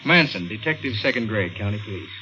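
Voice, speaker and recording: Animated, bright-voiced police detective. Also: male voice, lowish voice, fast. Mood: businesslike. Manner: hesitant, soothing, colloquial. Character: patient, skeptical, kindly male police detective. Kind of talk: 1950s radio mystery acting. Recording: muffled old radio recording, faint noise.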